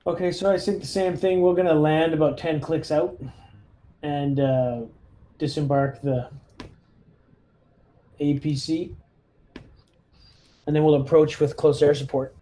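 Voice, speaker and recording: A man chatting casually as a player in a tabletop roleplaying game, thinking out loud in a tentative way. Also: fairly high pitch, steady tone, unhurried, long pauses.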